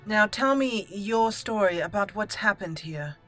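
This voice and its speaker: A woman hosting a game playthrough, roleplaying aloud with theatrical, deliberate phrasing. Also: medium pitch, expressive, sharp tone, soft background music. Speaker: gaming channel host.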